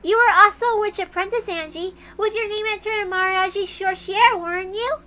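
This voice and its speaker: Woman doing a really high little witch girl, bright and eager to explain stuff, visual novel read. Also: moderate pace. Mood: earnest, reassuring. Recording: music behind the voice.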